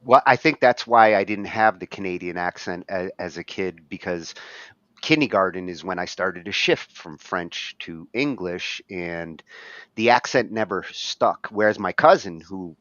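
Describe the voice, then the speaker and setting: A man co-hosting a podcast in relaxed casual chat, chuckling after his remarks. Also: low voice, a little slow, no room sound, lo-fi sound. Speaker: podcast co-host.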